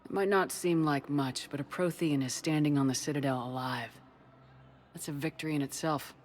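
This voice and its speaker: A man's voice: on the high side, steady tone, fairly quick, lightly acted. An actor playing a human male military commander in a video game, plain-spoken, casual and encouraging. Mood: reassuring. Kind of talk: scripted video game dialogue.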